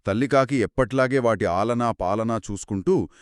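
A man's voice: mid-range voice, normal speed, no room sound, studio quality. A man co-hosting scripted children's storytelling in dialogue, speaking in a reactive, theatrical way. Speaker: co-host storyteller.

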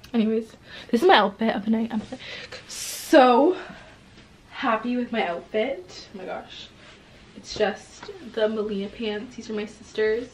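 A woman in her twenties talking to a handheld camera in a casual vlog, chatty and hoarse from losing her voice. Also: mid-range voice, slight background noise, low-quality recording. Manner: chatty, says "like" and "oh my gosh" often. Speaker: vlogger. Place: bedroom, then inside a car. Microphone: handheld camera at arm's length.

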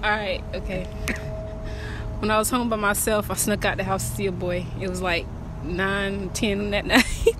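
A woman's voice: medium pitch, level tone, normal speed, music in the background. A young woman answering a street interview question candidly, giggling as she talks. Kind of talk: casual chat. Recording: noisy mall background.

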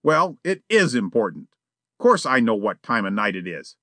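A man voicing a relaxed, slangy burglar who chats casually and cheekily in an audiobook reading. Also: lowish voice, normal speed, no echo. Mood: wheedling.

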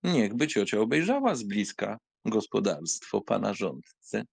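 A nonchalant young nobleman for an audiobook drama. He has a creaky, middle-pitched voice in a dry, close recording. His delivery is languid and wry, yet the pitch moves widely. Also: very animated, measured pace, no room sound, heavily acted. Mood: nonchalant, obliging. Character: bored, nonchalant young aristocrat count humouring his aunt.

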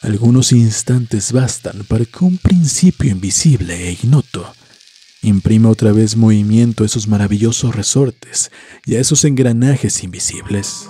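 A man narrating a scripted horror story in a solemn, deliberate, dramatic way, letting phrases trail off softly. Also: on the low side. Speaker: host and narrator of a story series. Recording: music behind the voice. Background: music.

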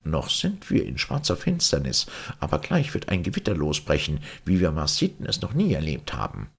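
A man voicing a wise, calm Martian pilot in a storybook, speaking in a formal, eloquent, declamatory way. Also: very deep voice, normal speed, vocal fry, slightly rough, exaggerated voice. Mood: grave warning.

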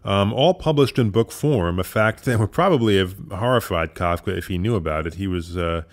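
A man with a fairly low voice presents an audio drama program. His commentary is partly scripted, with informal asides. He comes across as thoughtful and measured. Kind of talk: semi-scripted host commentary.

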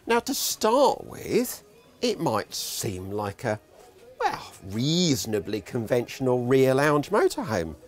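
A man's voice: medium pitch, takes it slowly, soft background music. Wry man touring a motor show. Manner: wry, dry humour, animated delivery. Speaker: motorhome reviewer. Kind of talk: presenting a walkaround video. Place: large indoor exhibition hall.

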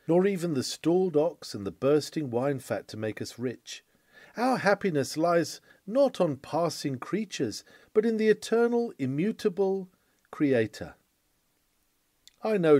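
A man reading an old sermon aloud in a formal, declamatory style, with emphatic phrasing and deliberate pauses. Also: on the high side, mellow, dry recording, studio quality. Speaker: reader of a historic sermon. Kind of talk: oratorical sermon read aloud.

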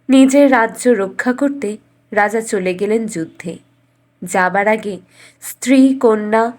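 A woman reading a scripted fairytale as a storyteller. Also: a little high.

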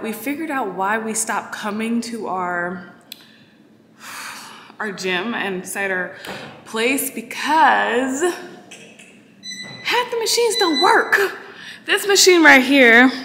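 A woman's voice: a little high, bright, forceful tone, slight background noise. A young woman vlogging in an apartment gym, out of breath and chatty, poking fun at herself. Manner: chatty, self-mocking. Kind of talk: casual vlog chat. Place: large apartment-complex gym, indoors. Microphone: handheld camera at arm's length. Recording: echoey room, from off the mic.

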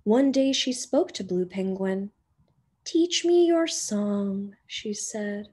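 A woman teacher reading a children's picture book aloud in a gentle storytelling manner, sometimes dropping to a whisper, recorded on a webcam in a small indoor room. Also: mid-range voice, lively intonation, slightly rough. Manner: slow, gentle storytelling with soft whispered passages. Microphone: webcam on a video call.

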